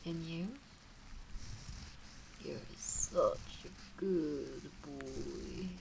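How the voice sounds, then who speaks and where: A woman voicing a teasing, doting kitsune witch in an intimate ASMR roleplay, cooing softly and often dropping into whispers. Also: sits low, sing-song, drawn-out words, creaky, noisy background, heavily acted. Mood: doting, soothing.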